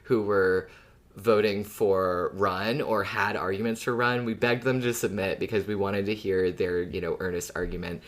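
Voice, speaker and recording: A podcast co-host talking casually and informally in a relaxed discussion. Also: sits low, normal speed.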